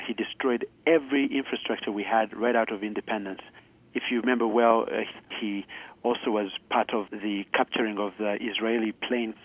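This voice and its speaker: Low-voiced man over a tinny phone line. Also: husky. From Uganda. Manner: earnest, explanatory, some halting restarts. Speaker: guest, charity founder. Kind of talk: radio interview.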